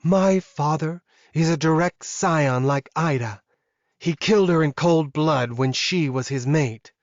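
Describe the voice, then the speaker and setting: Man voicing a desperate, scheming werewolf woman, pitched high. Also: unhurried, dry recording, dull audio, lightly acted. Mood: bitter, defiant. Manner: pleading, emotional. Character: evil young werewolf woman, pleading, scheming, defiant. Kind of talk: audiobook character acting.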